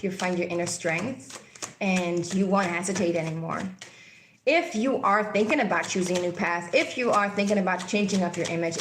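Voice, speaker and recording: Swedish-accented woman, casual tarot reader, meandering and repetitive, mid pitch, roomy echo. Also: noisy background. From Sweden. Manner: meandering, intuitive, repeats key phrases. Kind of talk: casual tarot card reading.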